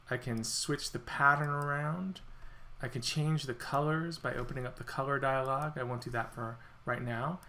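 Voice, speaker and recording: A man walking through a software feature in a screen-recorded demo, explaining step by step, his voice a little worn as he clears his throat. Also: medium pitch, a little hiss or hum. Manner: relaxed explanatory walkthrough with self-corrections. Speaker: software product presenter.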